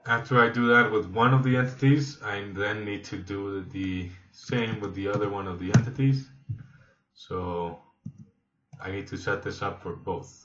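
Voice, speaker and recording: A man with a fairly low voice walks through a software demonstration step by step. His delivery is instructional and a little halting. The recording is echoey and slightly dull. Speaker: software trainer. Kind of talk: instructional screen-demo narration.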